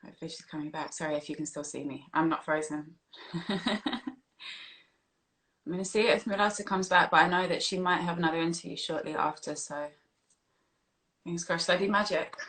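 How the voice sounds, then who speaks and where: Bright-voiced woman, chatty interviewer. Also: fairly low pitch, fairly quick, pauses often. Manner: giggly, chatty, easygoing. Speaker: interviewer, host of the live stream. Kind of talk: casual live-stream interview chat. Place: remote social media live video stream.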